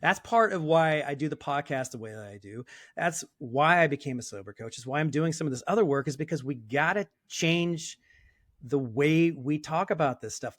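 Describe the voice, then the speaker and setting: A man in his fifties talks bluntly as a guest, pressing his points hard and now and then letting a curse slip. His voice sits a little high for a man. The recording is close and dry. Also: fairly bright tone, no echo. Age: 50s. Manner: emphatic and blunt, with occasional swearing. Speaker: sober coach, podcast guest. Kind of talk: casual conversational interview.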